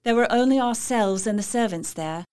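A woman's voice: a little high, moderate pace, a little mellow, clean tone, natural voice. An actress playing a thoughtful, dutiful young Victorian wife, narrating her journal in a reflective and precise manner. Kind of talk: dramatic diary narration in audio drama.

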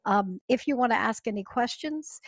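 A woman's voice: a little high, lively intonation, normal speed, dry recording. Enthusiastic woman hosting an online session. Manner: friendly, enthusiastic, hesitant fillers. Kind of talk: introducing a speaker on a video call. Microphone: computer mic on video call.

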